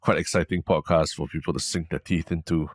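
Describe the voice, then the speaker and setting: Singaporean male co-host, thirties, very deep voice, deadpan teasing, dry booth sound. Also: a little fast, no echo. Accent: Singaporean English. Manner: dry, teasing, hesitant with fillers. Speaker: podcast co-host. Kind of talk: casual chat.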